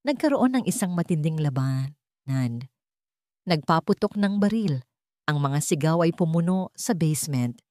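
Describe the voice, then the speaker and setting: A woman's voice: low voice, lively intonation, unhurried, warm tone, no echo, clean studio sound. A woman reading a scripted dramatic audio story as its narrator.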